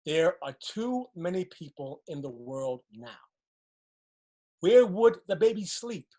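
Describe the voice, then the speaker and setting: A woman's voice: fairly low pitch, sing-song, takes it slowly, mellow, exaggerated voice. A woman playing a wary, resigned survivor in a stage play, with a pragmatic, cautioning way of talking. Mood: placating, cautioning. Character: wary, resigned flood survivor clinging to a cramped shelter.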